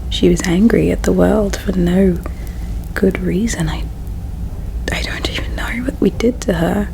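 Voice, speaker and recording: A woman performing a whispered ASMR roleplay as a regretful, solemn alien princess, with a breathy, hushed, halting delivery. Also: fairly low pitch, fairly even intonation, noisy background. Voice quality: breathy whisper with soft creak. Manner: slow, halting, hushed storytelling.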